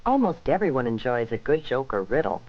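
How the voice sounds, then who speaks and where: An American man reading scripted, instructive narration for a 1970s safety film, with measured phrasing addressed to young people. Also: mid-range voice, sing-song. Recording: dull vintage 1970s film soundtrack.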